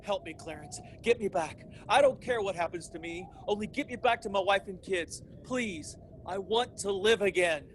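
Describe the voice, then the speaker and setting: A man's voice: sits high, normal speed, hardly any pauses, slight background noise, lightly acted. An actor playing a desperate, agitated family man in a pleading, old-time radio drama style.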